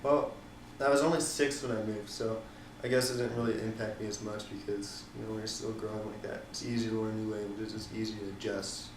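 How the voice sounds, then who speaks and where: A young man from the Netherlands answering interview questions casually, pausing often with ums and likes. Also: lowish voice, flat delivery, roomy sound, recorded from a distance, noisy background. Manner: hesitant, many ums and likes.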